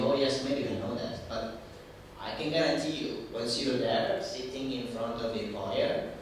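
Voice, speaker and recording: A man's voice: fairly low pitch, noisy background. A man with an Italian accent giving a casual seminar talk on job interviews, chatty and full of fillers and repeated words. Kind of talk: informal career seminar talk. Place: large echoey hall. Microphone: distant from the microphone. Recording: very echoey hall, voice from far off.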